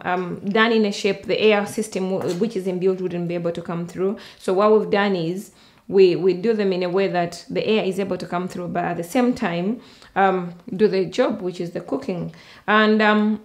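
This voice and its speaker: A middle-aged woman with a Ugandan accent, explaining and demonstrating a product she invented, halting often with ums and restarts. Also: mid-range voice.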